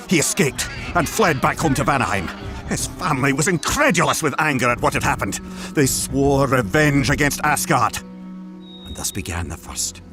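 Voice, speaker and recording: Animated male storyteller over music. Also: mid-range voice. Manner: theatrical, emphatic. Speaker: narrator. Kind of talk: dramatic scripted mythology storytelling. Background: background music.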